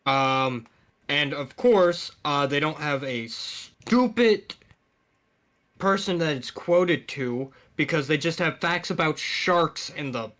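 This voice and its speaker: A man's voice: a little high, animated, slow, fairly bright tone. A man co-hosting a podcast, telling stories and joking in a rambling, casual chat. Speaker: podcast co-host.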